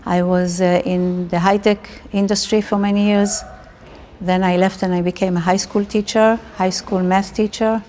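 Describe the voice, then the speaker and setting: A woman's voice: medium pitch, little pitch movement, normal speed, dark tone. A middle-aged woman from Israel with an Israeli accent, telling personal stories casually as an interviewee.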